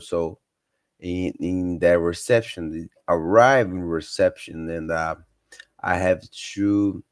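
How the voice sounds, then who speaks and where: Man, 30s, low-ish voice, halting learner's English, encouraging vlog tone. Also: darkish tone, no room sound, studio quality. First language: Portuguese. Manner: hesitant, many fillers and self-corrections, encouraging. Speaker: vlogger sharing language tips. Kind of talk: casual unscripted talk to camera.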